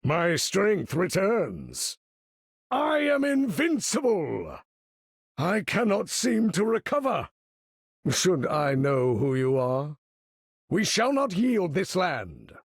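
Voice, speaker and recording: An older, imperious supervillain for a video game. His voice is gravelly and husky, swinging dramatically as he issues grand, formal battle commands with pompous weight. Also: sits high, huge pitch swings, slow pace, no room sound, clean studio sound, exaggerated voice. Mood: triumphant, shouting, then strained. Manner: pompous, theatrical, formal diction.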